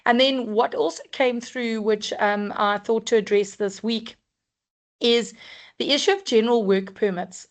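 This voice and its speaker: A woman from South Africa with a croaky voice, presenting a work-compliance webinar in a measured, explanatory way with frequent ums. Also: medium pitch. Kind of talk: scripted-free webinar talk.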